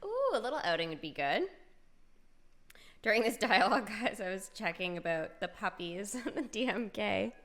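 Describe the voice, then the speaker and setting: A woman's voice: medium pitch, big pitch swings, moderate pace. A woman streaming a video game with casual, chatty, giggly commentary. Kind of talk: casual playthrough commentary and reading along. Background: soft game music.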